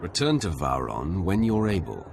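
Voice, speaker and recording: Very deep gravelly Sith lord. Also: male voice, very animated, background noise, exaggerated voice. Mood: cool, commanding. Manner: deliberate, commanding, coolly confident. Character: menacing calm male Sith lord warrior. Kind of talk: video game voice acting.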